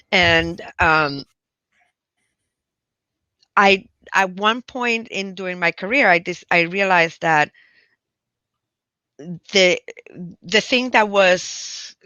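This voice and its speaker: A woman's voice: on the low side, pushed and bright, clean tone, no room sound, muffled recording. A middle-aged woman with a Puerto Rican accent, speaking thoughtfully as an interview guest, often restarting her phrases. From Puerto Rico. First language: Spanish. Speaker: guest scientist. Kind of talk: reflective interview conversation.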